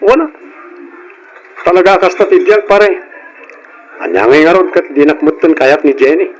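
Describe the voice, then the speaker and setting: A man's voice: fairly high pitch, expressive, a little slow, rough recording. A man acting a brash, evasive young womanizer in an old-style radio drama. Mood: boastful, casual.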